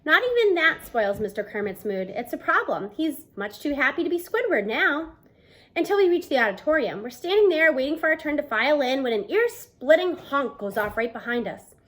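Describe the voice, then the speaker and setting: A woman in her thirties reading a children's novel aloud as an expressive narrator, recorded at home on a device mic. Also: sits high, fairly quick. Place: small room at home, indoors.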